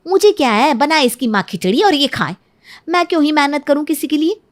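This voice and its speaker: A woman's voice: sits high, lively intonation, fairly quick, voice pushed up. A woman voicing a jealous, resentful young daughter-in-law in a cartoon story, indignant and complaining. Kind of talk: Hindi cartoon story acting.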